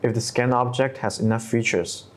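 A man in his thirties narrating a scripted software tutorial step by step, with non-native English phrasing. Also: mid-range voice, steady tone, moderate pace. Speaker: tutorial presenter.

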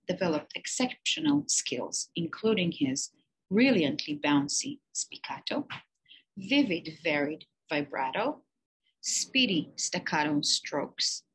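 Woman, teacher, storybook read-aloud, airy voice, expressive emphasis. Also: fairly low pitch, breathy edge, low-quality recording. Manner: expressive storytelling with lifted emphasis on key words. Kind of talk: picture-book read-aloud.

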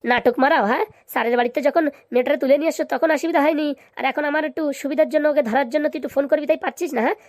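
A woman acts out a bullying policeman in a comic cartoon, with a high voice and a dry sound. He fires off brusque, threatening questions at great speed. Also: fairly even intonation, very fast, dry recording. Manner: brusque, interrogating.

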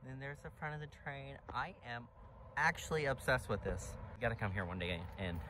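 A man's voice: mid-range voice, lively intonation, brisk, heavy background noise. A young man chatting casually and playfully to a vlog camera, recorded on a handheld phone. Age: young adult. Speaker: vlogger. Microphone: phone at arm's length.

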